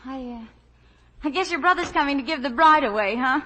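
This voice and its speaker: A woman's voice: high-pitched, animated, fairly quick, fairly bright tone. An actress playing a gossipy, insinuating neighbor woman in a 1950s radio drama. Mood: sly, gossipy.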